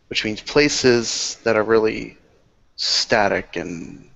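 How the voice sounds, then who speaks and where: A man's voice: lowish voice, creaky, a bit bright, highs cut off. A man casually narrating and explaining his character in a tabletop roleplaying game.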